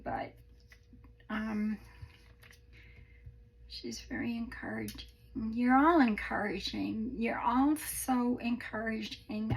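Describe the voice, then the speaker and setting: A woman hosting a crafting video, chatting casually through a tutorial with a croaky voice, rambling and self-deprecating. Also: on the high side, poor audio. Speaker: crafting channel host.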